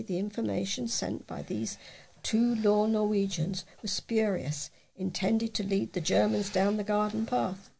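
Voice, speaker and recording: Very creaky, husky woman reading local news. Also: mid-range voice, a little mellow, poor audio. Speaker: volunteer newspaper reader.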